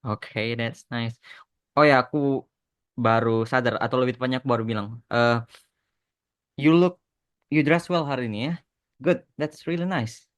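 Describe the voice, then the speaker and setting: A man's voice: medium pitch, measured pace, long pauses, dry recording. An Indonesian man hosting a casual podcast interview, repeating short phrases and slipping in bits of English. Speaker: podcast host and animation creator.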